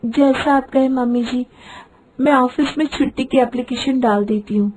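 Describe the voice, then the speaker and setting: Woman playing a high-voiced polite young daughter-in-law. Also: normal speed, darkish tone, slight background noise, lightly acted, rough recording. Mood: obliging. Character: practical young middle-class daughter-in-law, hesitant but frank. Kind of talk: acted cartoon story dialogue.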